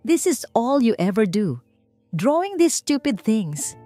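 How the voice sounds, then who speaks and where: A scornful, haughty wealthy mother from a spoken storybook, put on by a woman narrator. Her lines ride well above the narrator's own pitch and come out louder and sharper. She sounds set apart, thinner in tone than the narration around her. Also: very animated, takes it slowly, no echo, soft background music. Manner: sneering, shouting at others.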